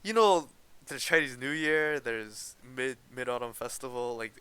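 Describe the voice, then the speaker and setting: Teen male co-host from Canada, bright crisp voice, casual hesitant chat with restarts. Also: medium pitch, expressive, a little slow, dry recording, poor audio. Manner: hesitant, restarts often, laughs while explaining. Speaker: podcast co-host.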